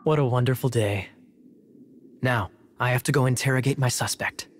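An actor playing a composed, sly male knight captain in a video game, teasing with smooth, ironic politeness. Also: mid-range voice, moderate pace, a little hiss or hum, natural voice. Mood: courteous, sly. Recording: music behind the voice.

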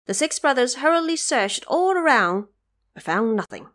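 A woman narrator telling a scripted story for an audio drama in an expressive, measured way. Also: high-pitched.

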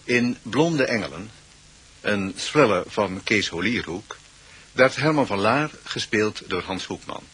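A man's voice: on the low side, expressive, measured pace, fairly bright tone, breathy edge, low-quality recording. A man reading radio play credits as a closing announcer.

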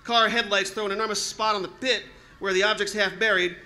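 A man playing an excited radio reporter at the scene in 1930s radio style, hesitant and stammering with urgency. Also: sits high, fairly quick. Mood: hushed urgency. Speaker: actor in a radio drama recreation.

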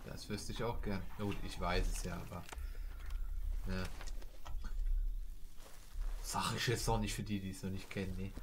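A man doing laid-back Let's Play commentary, hesitant and often trailing off, over loud game audio. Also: low voice, steady tone, moderate pace, long pauses, background noise. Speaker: gamer commenting on a playthrough. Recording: loud game music behind the voice. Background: video game music and sounds.

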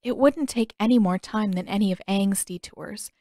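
A woman's voice: medium pitch, normal speed, dark tone, dry recording, studio quality. A woman reading a fan fiction story aloud as scripted audiobook narration. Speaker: podfic narrator and author.

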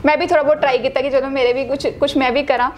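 A woman's voice: high voice, fairly even intonation, quick pace, slight background noise. A young woman with a Dogri accent hosting an interview, asking friendly questions in casual chat. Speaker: interview host. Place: indoor studio set.

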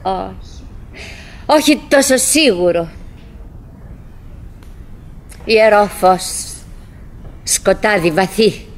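An actress playing a cheerful, fretful middle-aged wife who muses to herself in fragments and sighs in a live stage monologue. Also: female voice, mid-range voice, animated, close to an everyday voice. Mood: plaintive. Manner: fragmented musing with sighing exclamations. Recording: noisy 1979 live theatre recording.

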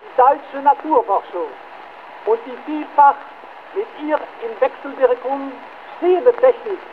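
Tinny, high-voiced wartime German orator. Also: male voice. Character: aggrieved German scientist giving a wartime speech. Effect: old radio filter. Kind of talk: formal scripted oration. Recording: tinny old-radio sound, faint noise.

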